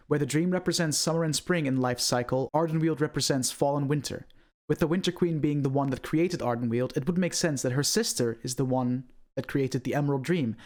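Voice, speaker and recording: A man's voice: a little high, a little mellow. A man hosting an online video, giving scripted commentary on video game lore in a presenter's style.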